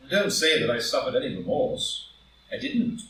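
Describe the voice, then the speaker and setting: A man playing a proud, ambitious wealthy gentleman in a stage drama reading, speaking in an impassioned, grandiloquent and emphatic way. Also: mid-range voice, big pitch swings, moderate pace. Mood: pleading, uneasy. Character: proud, ambitious wealthy gentleman defending his guilty secret. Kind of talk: live group play reading, stage drama. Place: indoor room, live in-person group reading. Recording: roomy live recording, distant from mic.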